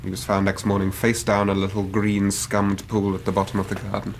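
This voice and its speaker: A man's voice: lowish voice, completely flat delivery, brisk, slight background noise, close to an everyday voice. An actor playing an anxious, earnest young Victorian man in a radio drama, speaking in formal, precise period language. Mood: earnest, grave. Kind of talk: radio drama acting, narrating his own story.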